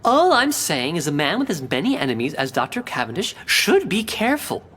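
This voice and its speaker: A woman's voice: on the low side, big pitch swings, brisk, a bit bright, breathy edge, full character voice. A woman playing a chatty, pedantic scientist in an audio drama, speaking precisely and full of knowing hints. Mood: knowing, hinting. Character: chatty, know-it-all female scientist and inventor, pedantic and gossipy.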